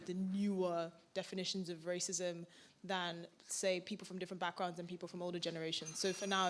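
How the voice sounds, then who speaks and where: Quick-talking young woman asking a question. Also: on the low side, slight background noise. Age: young adult. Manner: quick, candid, laughing. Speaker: audience member asking a question. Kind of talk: audience question at a conference.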